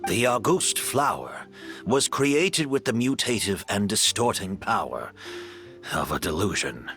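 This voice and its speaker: A man voicing a weakened, solemn older male merchant who speaks slowly and gravely. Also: on the low side, lively intonation, a bit bright, soft background music, pitched below the performer's own voice.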